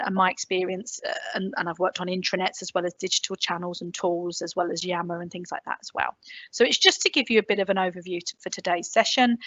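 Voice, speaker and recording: A woman's voice: medium pitch, fairly bright tone, no echo, muffled recording. London woman presenting on a video call. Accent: London English. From England. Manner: chatty, filler-heavy, self-deprecating asides. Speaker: conference presenter. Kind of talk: online conference presentation. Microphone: computer mic on a video call.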